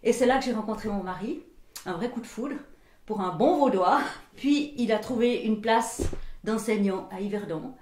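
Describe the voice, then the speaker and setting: A woman's voice: mid-range voice, moderate pace. Reflective woman in her sixties, echoey room. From Belgium. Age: 60s. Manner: thoughtful, searching for words, often trailing off softly. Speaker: visual artist and printmaker. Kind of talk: reflective documentary portrait interview.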